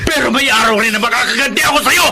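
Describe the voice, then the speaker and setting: A furious, resentful young son. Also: male voice, very high voice, fast talker, very noisy. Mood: hateful. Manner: shouting, tearful outbursts. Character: angry young man, resentful rebellious son. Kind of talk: radio drama acting. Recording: music playing underneath.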